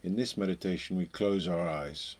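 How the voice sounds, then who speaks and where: A man leading a guided meditation, speaking in short phrases with long pauses between them. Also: sits low, a little mellow.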